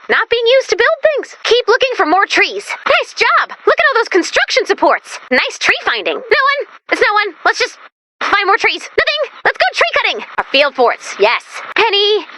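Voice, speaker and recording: A woman voicing an eager-to-please, anxious hovering robot helper in a video game, perky and earnest with turns into deadpan. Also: very high voice, normal speed, no room sound, heavily acted. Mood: anxious pleading. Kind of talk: video game character voice lines.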